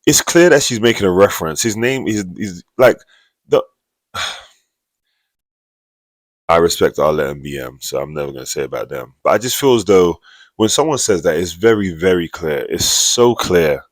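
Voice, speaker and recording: A man's voice: low-pitched, animated, moderate pace, no echo. A man hosting a dating show, giving his opinions casually and repeating phrases for emphasis. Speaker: host. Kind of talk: casual commentary.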